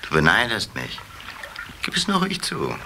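An actor playing a creepy, boastful lamprey fish in a children's radio play, with a drawling, wheedling manner. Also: male voice, fairly low pitch, huge pitch swings, pushed and bright, slight background noise, full character voice. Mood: haughty, boastful. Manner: drawling, wheedling, hesitant pauses.

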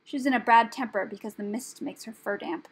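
Woman playing a hoarse, mild male dragon. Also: fairly high pitch, fairly even intonation, brisk. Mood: calm, firm. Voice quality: rather hoarse. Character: mild, patient male dragon, drowsy and calm. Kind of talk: audiobook character voice.

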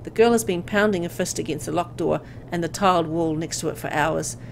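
A woman reading a visual novel aloud as dramatic scripted narration, with an expressive storytelling delivery. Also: lowish voice, fairly quick, vocal fry, slight background noise, low-quality recording.

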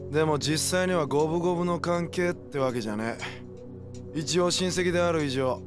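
A man playing a short-tempered young yakuza in a game cutscene, talking gruff, bossy street slang over music. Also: fairly high pitch, normal speed, close to an everyday voice. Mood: gruff explaining. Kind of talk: video game cutscene acting.

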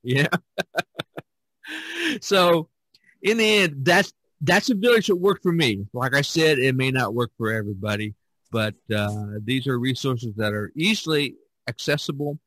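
A man chats casually as a podcast host, with a medium-pitched voice in a dry recording. His delivery is folksy and rambling, often halting as he works toward his point. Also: a bit bright, no room sound, poor audio. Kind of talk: casual conversation.

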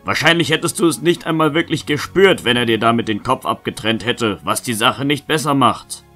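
A man's voice: mid-range voice, fairly quick, pushed and bright, soft background music, lightly acted. A man playing a stern, world-weary knight in a fantasy audio drama, grave and lecturing. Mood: angry reproach.

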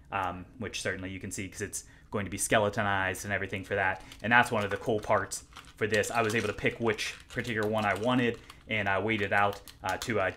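A man's voice: fairly low pitch, bright, forceful tone, a little hiss or hum. A man reviewing watches, talking casually through an unboxing at home, chatty and self-deprecating with many ums and restarts. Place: home room, indoors.

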